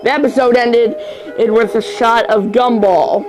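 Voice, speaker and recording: Amateur creepy-story reader, slow and halting, mid-range voice, frequent stumbles, prominent music underneath. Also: medium pitch, steady tone. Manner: halting, stumbles over words and repeats phrases. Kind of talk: amateur horror story reading.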